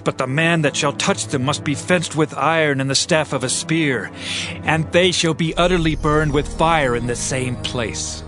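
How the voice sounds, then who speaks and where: An aging king and psalmist acted by a man speaks in a fairly high male voice. He declaims scripture with solemn, devout reverence, as in an audio drama, with music clearly behind him. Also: moderate pace, lightly acted. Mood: solemn, reflective.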